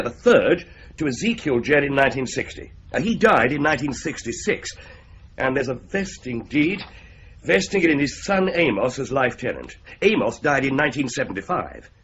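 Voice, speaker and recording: An actor playing a genial solicitor with a jovial, ironic, rambling way of talking, in a radio drama. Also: male voice, medium pitch, big pitch swings, normal speed, fairly bright tone, slight background noise. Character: genial, wry male solicitor. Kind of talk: 1970s radio drama acting. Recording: slightly dull broadcast recording.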